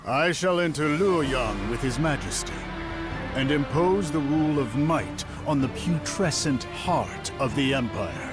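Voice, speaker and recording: An actor playing a ruthless, imperious warlord in a video game, speaking slowly with contempt and command over loud music. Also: male voice, medium pitch. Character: ruthless, imperious warlord preaching rule by might. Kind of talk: dramatic video game voice acting.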